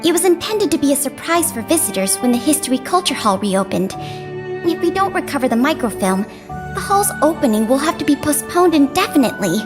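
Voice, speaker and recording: A woman's voice: sits high, sing-song, fairly quick. A woman voicing a polite, earnest young female museum guide and investigator in a video game, speaking courteously and carefully. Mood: earnest, concerned. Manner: courteous, bookish, careful explaining. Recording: music behind the voice.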